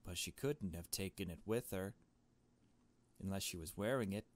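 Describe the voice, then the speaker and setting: A man's voice: deep voice, normal speed, natural voice. A man voicing a nervous, shaken young woman who narrates a visual novel mystery in a hesitant, uneasy way. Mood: subdued, uneasy. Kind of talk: visual novel story read aloud.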